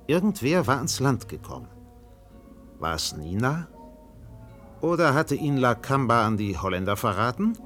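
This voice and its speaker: Radio play narrator: grave literary storytelling, highly varied pitch, light music bed underneath. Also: male voice, very animated, moderate pace, pauses often, fairly bright tone. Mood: grave, suspenseful. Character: grave male literary narrator of a tropical tale.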